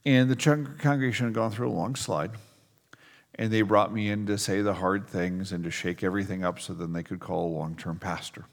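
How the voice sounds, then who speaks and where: A man's voice: on the low side, vocal fry, long reverb tail. A middle-aged man preaching a sermon to a congregation in a conversational style, leaning hard on key words. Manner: conversational preaching with emphatic stresses. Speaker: pastor.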